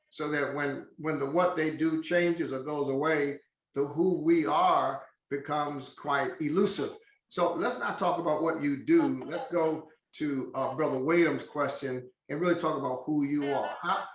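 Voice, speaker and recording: A man hosting an interview in a measured, emphatic way, slowing down to stress key phrases. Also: a little high, reverberant, poor audio. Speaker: host.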